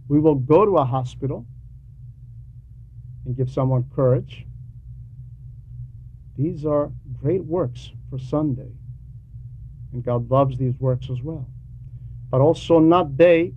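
A man preaching a sermon with emphatic, deliberate phrasing and frequent pauses. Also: medium pitch. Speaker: preacher reading a translated homily. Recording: dull lo-fi recording with background noise.

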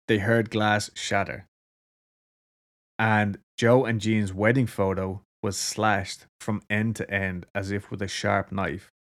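A man with an Irish accent casually telling a story to a co-host, laughing often and swearing freely. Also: lowish voice. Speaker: podcast co-host.

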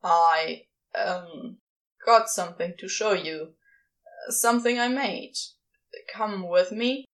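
A woman voicing a reserved, tender male demon who speaks haltingly in an audiobook-style fan fiction reading. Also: medium pitch, a bit bright. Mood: awkward, hesitant. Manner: hesitant, halting.

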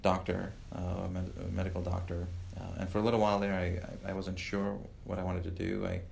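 A man in his thirties from Uganda, a professor answering interview questions in a measured way, with many "uh" fillers and restarts. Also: low-pitched, flat delivery, creaky, darkish tone, a little hiss or hum. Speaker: professor and guest. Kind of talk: podcast interview.

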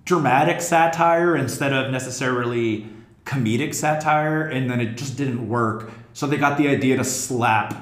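A man's voice: medium pitch, normal speed. Echoey man in a casual podcast discussion. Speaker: podcast host. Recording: echoey, reverberant room.

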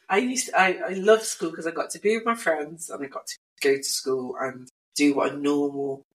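A woman's voice: low voice, moderate pace, low-quality recording. A woman in her thirties, a guest sibling, chatting casually on a podcast and laughing often as she tells stories. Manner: relaxed storytelling, laughs often.

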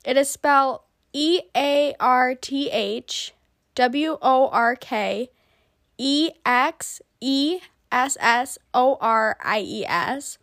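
A woman's voice: high-pitched, takes it slowly, fairly bright tone, no echo, studio quality. A young woman in her twenties hosting a casual solo podcast, congested from a cold, chatty with frequent ums. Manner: chatty, many ums, laughs often. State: sick, congested. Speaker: podcast host.

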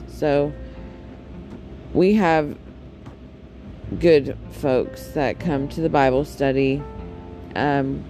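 A man with a deep, raspy voice, chatting casually and candidly on his solo podcast, with frequent ums and self-corrections. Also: darkish tone. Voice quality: deep and raspy, especially in the morning. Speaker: podcast host.

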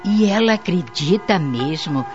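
A woman playing a concerned, questioning mature aunt in a radio soap opera, with a probing, measured manner. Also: lowish voice, lively intonation, faint music behind. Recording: muffled lo-fi audio.

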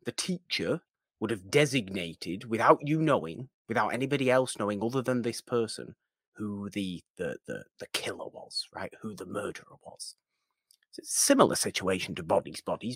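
A man with a lowish voice that moves around in an animated way, recorded close and dry. He talks casually as a podcast co-host discussing films, rambling and pausing to find the right word. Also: no room sound.

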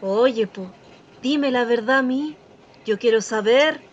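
A woman's voice: on the high side, slow pace, highs cut off, a little hiss or hum. A woman playing a prying, chatty small-town Chilean gossip in a naturalistic radio drama. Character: nosy, gossiping small-town female coworker.

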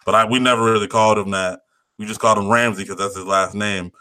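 A young man chatting casually on a podcast, easygoing and rambling, full of slang. His voice sits fairly low but sounds bright and crisp. The recording is close and dry. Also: steady tone, fast talker, no echo. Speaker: podcast co-host. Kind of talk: casual chat.

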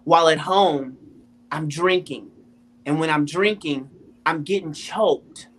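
Woman in her thirties, lowish voice, emphatic testimony, repeats lines for weight. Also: takes it slowly. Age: 30s. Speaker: interview guest. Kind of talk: personal testimony in a faith interview.

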